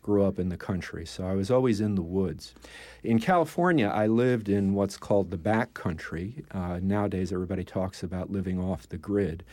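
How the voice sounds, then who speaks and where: An older man, a poet, talking in a measured, thoughtful way as an interview guest, pausing often with "uh". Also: sits low, warm tone, no room sound. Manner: thoughtful, measured, with frequent "uh" pauses. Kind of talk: conversational interview.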